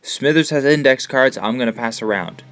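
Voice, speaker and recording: A man's voice: medium pitch, moderate pace, a bit bright, lightly acted. An actor playing an earnest teenage boy who leads and narrates for a group of survivors in an audio drama. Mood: grave. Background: music behind the voice.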